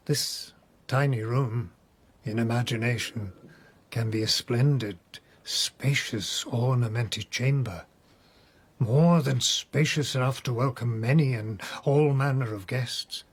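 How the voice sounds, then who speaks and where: An actor playing a deluded imprisoned nobleman of old Japan in a radio drama, theatrical and bitterly wistful, with drawn-out phrases and hushed whispers. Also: medium pitch, husky. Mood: sardonic, dreamy.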